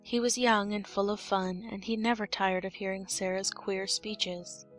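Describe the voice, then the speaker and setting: Woman narrating a storybook over soft music. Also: mid-range voice, level tone, a little slow. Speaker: audiobook narrator.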